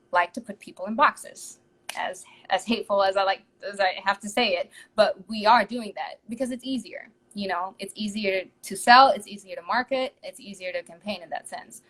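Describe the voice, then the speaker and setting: A woman's voice: mid-range voice, fairly even intonation, normal speed, a bit bright, poor audio. Woman, Dutch accent, young adult, singer, casual chat, light laughs. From Netherlands. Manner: chatty, laughs between thoughts. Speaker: guest singer. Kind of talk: casual interview over live stream.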